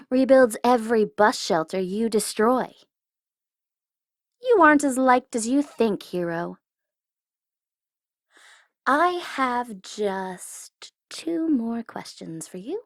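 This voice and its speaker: A woman's voice: fairly high pitch, no room sound, clean studio sound, full character voice. A woman playing a taunting, coy and commanding supervillain who is secretly smitten, in an ASMR audio roleplay. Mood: scornful, taunting. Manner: taunting, coy, commanding, with drawn-out deliberate phrasing. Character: teasing, menacing tsundere female supervillain, secretly smitten.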